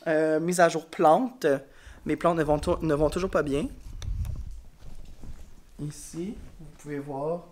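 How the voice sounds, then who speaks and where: A man's voice: on the high side, darkish tone, a little hiss or hum. A male schoolteacher with a Quebec French accent, congested, chatting casually to camera in a rambling, tired and frank way. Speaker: elementary school teacher. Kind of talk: casual vlog talk to camera. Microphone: camera mic.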